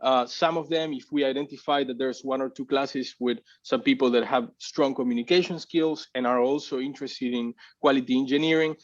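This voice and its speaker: A man's voice: mid-range voice, fairly even intonation, brisk, hardly any pauses, lo-fi sound. A man giving a casual talk at a tech meetup, hesitant, with many fillers and restarts. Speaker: community organizer presenting.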